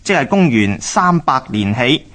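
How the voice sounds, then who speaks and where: A male narrator of a historical radio drama speaks Hong Kong Cantonese at a medium pitch. He reads scripted history in a measured, explanatory way. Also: sing-song, a bit bright.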